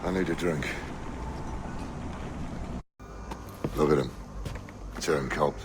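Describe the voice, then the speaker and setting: An actor playing a bitter, hot-tempered pirate captain in a video game, with a gravelly voice and a blunt, scornful, cursing manner. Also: male voice, extremely low pitch, normal speed. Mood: furious, bitter. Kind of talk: video game character acting. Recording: noisy game ambience behind voice.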